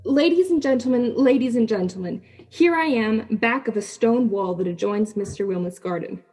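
A woman playing an on-the-spot radio news reporter at a disaster scene in a radio play, with a husky voice. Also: a little high, moderate pace, dark tone. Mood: tense, watchful. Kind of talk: radio play acting, live field report.

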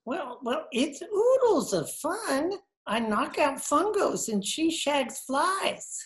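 A man's voice: very high-pitched, very animated, slow pace, a bit bright, heavily acted. A man voicing a gleeful, silly male sidekick with a giggly, gushing manner in old-time radio comedy. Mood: chirpy, eager. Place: indoor room at home. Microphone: computer mic on video call.

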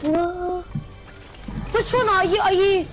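Squeaky, childish little puppet creature. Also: slow, fairly bright tone, faint music behind, full character voice. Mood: curious, insistent. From England. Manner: pleading, childish baby talk. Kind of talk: in-character online game voice chat. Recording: noisy game voice chat.

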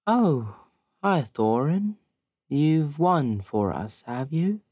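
Man voicing a dreamy, high-pitched dazed woman sailor. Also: big pitch swings, extremely slow, a little mellow, no room sound, heavily acted. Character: dazed, gentle injured woman sailor hearing voices.

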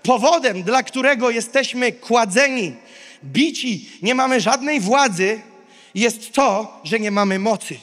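A man in his thirties preaches with a very high-pitched voice. He speaks as a pastor to a big congregation, emphatic and declarative, repeating short phrases. His handheld-mic voice rings through a large hall with long reverb. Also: lively intonation, measured pace, a bit bright. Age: 30s. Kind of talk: sermon to a large live congregation. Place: large indoor auditorium stage. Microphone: handheld microphone.

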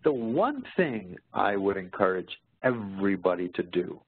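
A man's voice: low-pitched, big pitch swings, a little slow, slightly rough. A man presenting as a career coach, answering webinar questions in an explanatory way, often checking in as he goes. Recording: muffled phone-like line in echoey room.

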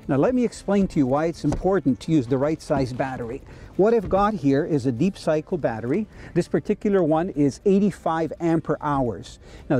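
A middle-aged man from Canada hosts a fishing show from a boat outdoors, speaking through a lapel mic. His voice sits a little high for a man but sounds mellow and soft. He explains things patiently and with enthusiasm.

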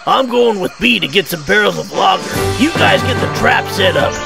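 A man's voice: high-pitched, lively intonation, normal speed, creaky, exaggerated voice. A man playing a gung-ho, cheerful, scheming hunter in an amateur cartoon audio drama, with theatrical delivery. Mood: urgent, planning. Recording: music laid under the voice.

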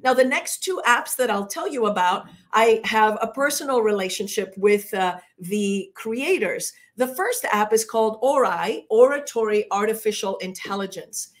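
A middle-aged woman, a public speaking coach giving a lively, chatty live-streamed webinar and reading out viewer comments. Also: medium pitch, moderate pace, hardly any pauses. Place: bright indoor room.

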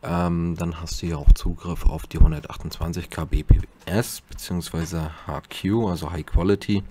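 A man narrating a casual software tutorial, explaining step by step in an informal, slightly hesitant way. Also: deep voice, fairly even intonation, moderate pace, slight background noise. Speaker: YouTube tutorial host.

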